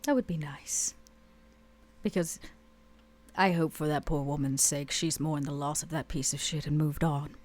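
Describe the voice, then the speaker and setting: A woman playing a haughty, world-weary vampire club owner in a tabletop game, speaking in a measured, dry way. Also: low voice, steady tone, darkish tone. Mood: weary, bitter.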